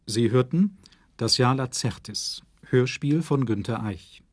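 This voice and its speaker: A man's voice: medium pitch, a little slow, no echo. A man reading scripted closing credits as a radio announcer.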